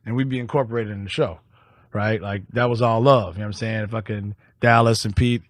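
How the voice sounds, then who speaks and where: A man's voice: lowish voice, steady tone, a little fast, dry recording. A man from Detroit with an American accent, chatting casually as a podcast host in slangy, profane talk. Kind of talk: casual chat. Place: podcast studio.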